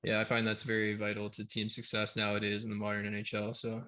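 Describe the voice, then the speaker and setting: A man's voice: on the low side, monotone, quick pace, pushed and bright. A man hosting a podcast interview, chatty and stumbling over his questions. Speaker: podcast host. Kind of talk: casual interview.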